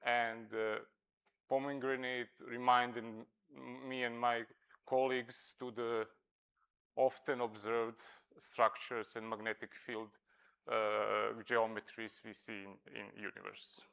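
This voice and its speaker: A man whose first language is Serbo-Croatian, giving a scientific conference talk, halting with many fillers and self-corrections. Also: medium pitch, flat delivery, creaky, fairly bright tone, smooth. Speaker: conference speaker. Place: lecture hall at a conference.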